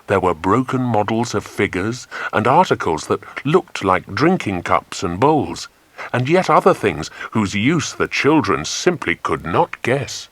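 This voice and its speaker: A man's voice: on the low side, very animated, a little slow, fairly bright tone, dry recording. A man narrating a children's adventure story in a dramatic, scripted style. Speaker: audiobook narrator.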